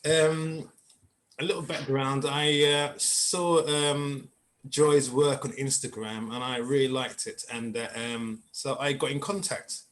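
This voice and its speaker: A man hosting a spiritual conversation show, asking questions haltingly with many fillers and restarts. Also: mid-range voice, steady tone, a bit bright. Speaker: podcast host. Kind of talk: interview hosting.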